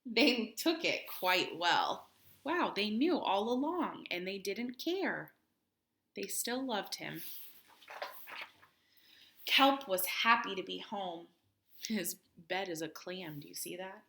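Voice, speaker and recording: A woman reading a children's picture book aloud expressively, with dramatic pauses, chatty asides and questions for her young listeners. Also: mid-range voice, animated, gravelly, bright, forceful tone, a little hiss or hum. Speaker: children's read-aloud host.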